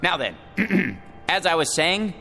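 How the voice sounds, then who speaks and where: A man's voice: high voice, very animated, takes it slowly, gravelly, pushed and bright, slight background noise, heavily acted. An actor in a radio drama playing a hapless everyman with grand pretensions, theatrical, moving between pompous and faltering. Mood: grand, formal. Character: hapless, grandiose everyman dreaming of being president, then genie. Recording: radio drama with music underneath.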